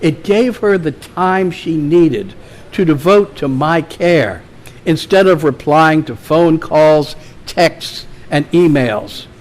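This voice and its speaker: A man with a fairly high voice reads a prepared tribute to a gathering. His delivery is very slow, measured and formal, as befits an award presentation. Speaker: club member presenting an award and reading a tribute. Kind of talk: reading a written tribute aloud at a meeting.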